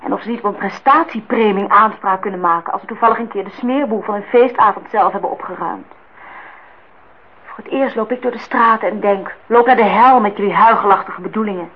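A woman's voice: mid-range voice, fairly quick, breathy edge, natural voice. A woman acting in a radio play as a defiant, idealistic young student, earnest and outspoken. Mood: defiant, scornful. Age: young adult. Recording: dull, noisy old radio recording.

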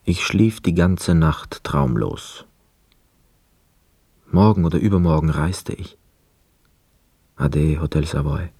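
An actor playing a brooding, hesitant ex-prisoner of war, telling his story in a measured, introspective way in a radio drama. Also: extremely low pitch, darkish tone, slightly rough, no echo, full character voice. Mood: resigned. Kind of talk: literary radio drama narration and dialogue. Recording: close dry studio, music behind. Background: music.

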